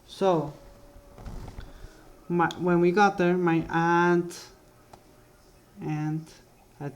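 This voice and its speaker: Slow, halting young man narrating. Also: high voice, fairly even intonation, muffled recording. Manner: halting, restarts and corrects himself often. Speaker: vlogger. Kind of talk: casual narration over drawings.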